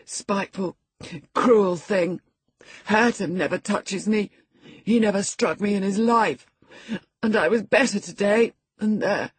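A woman's voice: mid-range voice, expressive, measured pace, a little mellow, slightly rough, no echo, pitched above the performer's own voice. A woman voicing a peevish, sickly teenage boy in an audiobook story, whining and self-pitying. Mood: whimpering. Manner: whiny, taunting, self-pitying.

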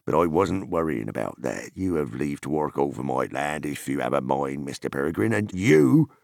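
A gruff, hearty hobbit farmer from a fantasy audiobook, acted out by a male narrator. He talks bluntly and rustically with a gravelly edge and big swings in pitch, wary of strangers yet hospitable, recorded close and dry. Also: very animated, moderate pace, darkish tone, no room sound, exaggerated voice. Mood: probing, hearty. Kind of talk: dramatized fantasy audiobook character.

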